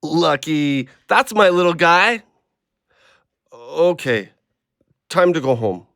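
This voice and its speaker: A man playing a troubled, gruff injured ex-logger in an audio play, muttering to himself and his dog. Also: a little high, animated, slow pace, fairly bright tone, no echo, clean studio sound, full character voice. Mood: fond, determined. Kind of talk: audio drama acting.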